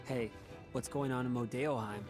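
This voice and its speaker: A man voicing a brash, good-hearted young soldier hero in a video game, speaking in an expressive, boyish, informal way over game music. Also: mid-range voice, moderate pace, natural voice. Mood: curious.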